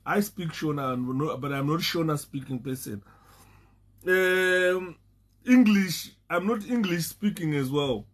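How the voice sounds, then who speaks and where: Halting Zimbabwean man on a live stream. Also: a little high, lively intonation, fairly bright tone. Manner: halting, repeats words, laughs often. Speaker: Facebook live host. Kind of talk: casual live-stream talk.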